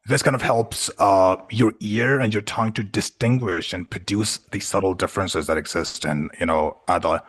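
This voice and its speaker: A man from Punjab with Urdu as his first language and a very low voice. He speaks in a relaxed, easygoing way, filling his sentences with "you know" and "like" as he shares tips with a class. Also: normal speed, few pauses, husky. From Punjab, Pakistan. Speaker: guest speaker. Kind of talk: online class talk.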